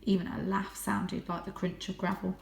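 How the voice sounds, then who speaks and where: A woman narrating a children's storybook in an expressive, dramatic way. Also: medium pitch, normal speed, vocal fry, very noisy. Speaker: storybook reader, teacher reading to children. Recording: roomy, echoing indoor sound.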